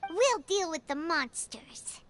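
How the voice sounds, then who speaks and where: Squeaky, bright little companion girl. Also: sing-song, slow, soft background music, exaggerated voice. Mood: reassuring. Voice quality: squeaky, childlike. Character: small floating companion girl, childlike, chirpy and eager. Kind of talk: anime game voice acting.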